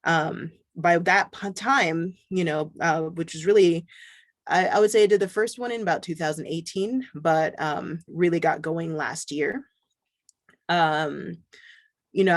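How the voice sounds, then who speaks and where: A man from Barbados, speaking as an artist on a panel, relaxed and conversational with many fillers. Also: a little high, no room sound, studio quality. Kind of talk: panel discussion.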